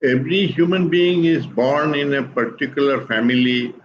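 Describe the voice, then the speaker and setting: Calm older Indian man explaining slowly. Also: medium pitch, muffled recording, a little hiss or hum. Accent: Indian English. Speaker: guest speaker on spiritual teachings. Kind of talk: measured explanatory talk.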